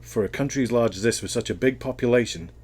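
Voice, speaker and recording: A man's voice: mid-range voice, fast, darkish tone, husky. A Welsh man answering interview questions in a casual, thoughtful way, often rambling and restarting his sentences. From Wales.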